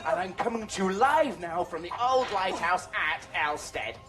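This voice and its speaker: An actress in a radio drama playing a pushy, gushing live radio reporter. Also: female voice, lowish voice, expressive, moderate pace, over music, lightly acted.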